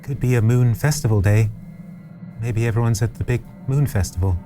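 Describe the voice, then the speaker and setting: A man playing a mild, nervous, naively hopeful crewmate in a tabletop roleplay, polite and measured. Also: medium pitch, over music, natural voice. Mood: cheerfully naive.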